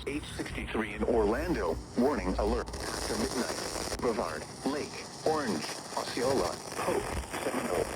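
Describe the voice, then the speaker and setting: A man's voice: on the low side, lively intonation, moderate pace. A synthetic man's voice reading a formal, scripted public service announcement over the radio. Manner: formal, impersonal. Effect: radio broadcast. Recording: very noisy weather radio reception.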